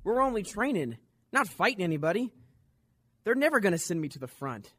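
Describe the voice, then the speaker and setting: A man's voice: high-pitched, big pitch swings, a little fast, fairly bright tone, husky, dry recording, heavily acted. A man playing a green, questioning young Marine private in a radio war drama. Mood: uneasy, questioning.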